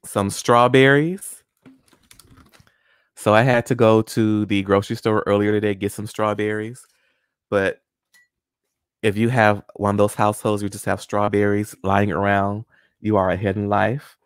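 A man's voice: on the low side, little pitch movement, a little slow, pauses often, smooth, no echo, clean studio sound. A man in his forties, a guest scientist, friendly and step by step, walking viewers through a hands-on experiment at home. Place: small home room. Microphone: studio condenser mic with pop filter and headphones.

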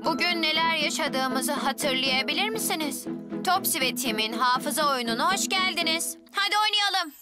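Small boy twin, voiced by a woman, earnest and competitive, very high childlike voice, music underneath, dubbed kids' show. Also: lively intonation, normal speed. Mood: cheerful presenting.

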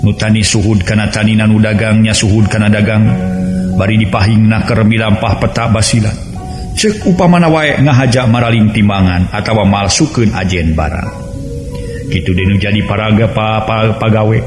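A man's voice: on the low side, moderate pace. A man with a West Javanese accent narrating a serial folk tale in a dramatic storytelling style. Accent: Sundanese-language region of West Java, Indonesia. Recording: roomy echo with music underneath.

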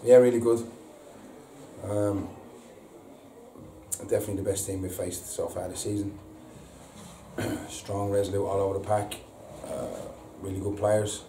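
A man, a football manager giving a halting post-match interview full of repeated words and ums. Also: fairly low pitch, flat delivery, dark tone. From England. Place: indoor press room before a sponsor board. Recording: echoey room, distant mic, audible hiss.

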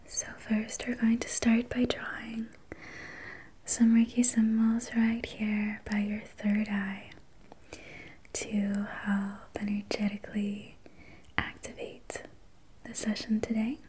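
A woman whispering softly and breathily as an ASMR host, guiding relaxation in a soothing, gentle, unhurried manner. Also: mid-range voice, monotone, a little hiss or hum, poor audio. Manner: soothing, slow, gentle personal attention. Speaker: ASMR host and reiki practitioner.

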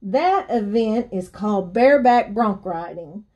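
A woman's voice: medium pitch, expressive, unhurried, a little mellow, dull audio, lightly acted. A woman voicing a friendly, folksy storybook cowgirl who uses Western turns of phrase. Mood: warm, admiring.

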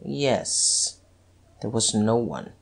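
A narrator playing a suspicious, shaken and stubborn male detective with a husky, halting voice in an audiobook story. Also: medium pitch, sing-song. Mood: doubting. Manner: halting, hesitant, muttering.

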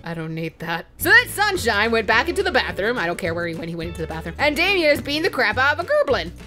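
A woman's voice: on the high side, big pitch swings, quick pace, sharp tone. A woman telling a comedic story of a game session, playful and profane, acting out the quotes as she goes. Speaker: storyteller, let's play host. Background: background music.

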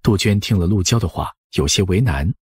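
A man's voice: sits low, fairly quick, a little mellow, breathy edge, dry recording, clean studio sound. A man narrating an audiobook story from a script.

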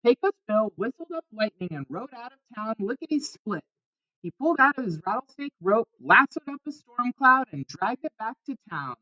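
A woman's voice: on the high side, very animated, moderate pace, muffled recording. A woman reading a children's storybook aloud expressively, with dramatic emphasis on key words. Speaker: storybook reader.

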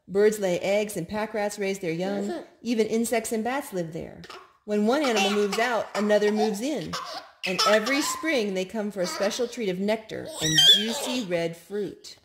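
A woman reading children's picture books aloud in an expressive, measured storytime style. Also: mid-range voice, slight background noise. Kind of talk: read-aloud storytime narration. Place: indoors at home.

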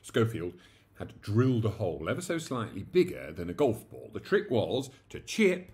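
Male narrator, 40s, very low voice with lively swoops, theatrical comic storytelling. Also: moderate pace. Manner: theatrical, animated. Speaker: story reader. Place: small indoor room at home.

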